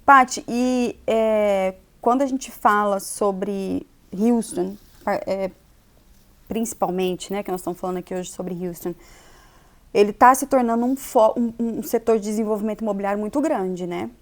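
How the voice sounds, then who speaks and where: A woman's voice: medium pitch, moderate pace. A woman hosting a casual interview, chatty and often ending her remarks with tag questions. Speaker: interviewer, magazine host.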